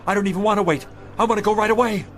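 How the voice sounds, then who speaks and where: A man's voice: high voice, very fast, a bit bright, soft background music. An actor playing a kindly older male street peddler in a radio drama, folksy, earnest and stubborn. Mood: frantic pleading.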